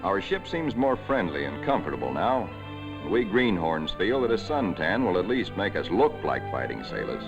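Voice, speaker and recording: A man giving scripted narration for a 1940s wartime documentary, in a measured, solemn way, on an old film soundtrack. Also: deep voice, very animated, dull audio, over music.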